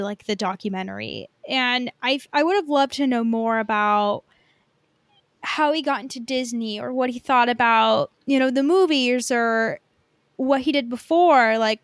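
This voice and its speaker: A woman's voice: on the high side, smooth, no room sound, studio quality. A woman co-hosting a casual podcast chat about film and TV, speaking hesitantly and often trailing off. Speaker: podcast co-host.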